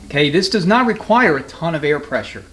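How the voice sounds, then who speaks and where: A man's voice: on the high side, lively intonation, fairly quick, heavy background noise. A man giving an unscripted, hands-on technical demonstration as a mechanic, explaining things in a matter-of-fact way. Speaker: transmission mechanic and instructor. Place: transmission workshop.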